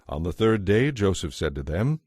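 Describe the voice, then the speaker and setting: Male narrator for audio Bible: lower pitch, scripted storytelling, dry close recording. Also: very animated, moderate pace, darkish tone, husky, dry recording.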